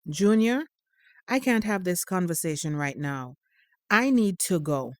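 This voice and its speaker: A woman's voice: on the low side, animated, unhurried, no room sound, clean studio sound. A woman playing a firm, protective mother of a teenage boy, pointed and motherly, in a naturalistic audio drama. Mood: stern, worried.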